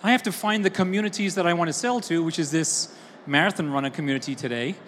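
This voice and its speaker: A woman from Texas giving an energetic, conversational talk at a conference and asking the audience questions. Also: lowish voice, quick pace, a little hiss or hum. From Austin, Texas. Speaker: conference keynote speaker. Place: large conference hall. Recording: roomy hall with faint ambience.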